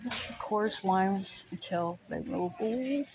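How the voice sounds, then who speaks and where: A woman's voice: medium pitch, takes it slowly, noisy background. A woman giving a casual, off-the-cuff shopping vlog narration in short, fragmentary remarks as she browses a store on her phone. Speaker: toy shopping vlogger. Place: large retail store aisle, indoors. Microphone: handheld phone, held by the speaker behind the camera. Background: store music.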